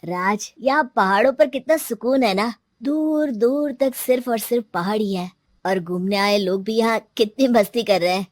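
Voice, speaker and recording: Casual young male friend in a story, read by a woman: high, questioning, dry studio sound. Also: moderate pace, no room sound, lightly acted.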